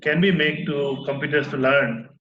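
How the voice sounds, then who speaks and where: A man's voice: on the high side, steady tone, moderate pace, noisy background. A man talking shop as an instructor, hesitant and full of fillers. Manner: hesitant, many fillers and restarts. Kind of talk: casual technical conversation. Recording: roomy, dull lo-fi recording.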